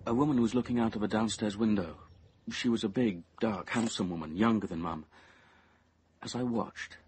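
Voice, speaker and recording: An actor playing a brooding, obsessive young man who narrates his confession in measured, intense tones, at times near a whisper. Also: lowish voice, husky. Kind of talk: radio drama first-person narration.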